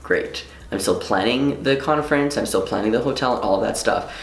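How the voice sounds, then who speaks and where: A man's voice: mid-range voice, moderate pace, reverberant. A young man from Quebec whose first language is French, chatting casually in a rambling, self-interrupting vlog with some swearing. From Quebec, Canada. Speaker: vlogger. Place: room at home.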